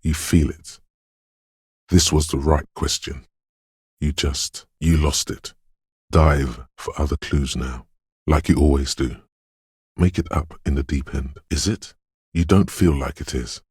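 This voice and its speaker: Spooky dreamy gut-feeling voice for a video game, super deep and really husky, dry close sound, poetic and hushed. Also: male voice, measured pace, pauses often, dry recording, full character voice. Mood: searching, uncanny. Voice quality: husky, gravelly bass. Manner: hushed, ominous, poetic, lingering on short phrases. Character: eerie, dreamy inner voice of a detective's intuition. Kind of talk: atmospheric second-person video game narration.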